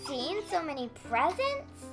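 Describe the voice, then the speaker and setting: High, unhurried excited little kid, played by a performer. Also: female voice, gravelly, soft background music, full character voice. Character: excited young child at Christmas. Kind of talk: radio play acting.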